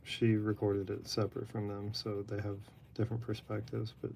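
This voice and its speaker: Man, monotone drawing-stream talker, gravelly fry, very flat delivery. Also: on the low side, moderate pace, slight background noise. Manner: monotone, rambling. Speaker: artist drawing live. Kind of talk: casual chat while drawing. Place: apartment room.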